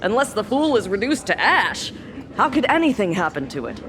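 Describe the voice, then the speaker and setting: A woman's voice: mid-range voice, very animated, moderate pace, a crowd in the background, close to an everyday voice. A woman voicing a tired, sarcastic hospital doctor in a game, dry and world-weary. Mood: storytelling. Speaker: game character. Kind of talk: game dialogue. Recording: game audio with music underneath.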